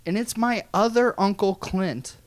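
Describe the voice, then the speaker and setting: An American man telling a funny personal story in an animated, folksy way with some swearing. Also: high voice, a little slow, no echo. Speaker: guest storyteller.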